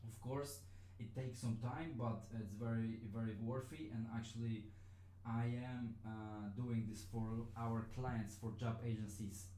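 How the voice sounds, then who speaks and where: A man's voice: mid-range voice, fairly even intonation, measured pace, warm tone, roomy sound, slight background noise, rough recording. A man whose first language is Polish, teaching a live-streamed lesson in a hesitant way, with many fillers and restarts. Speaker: online business trainer.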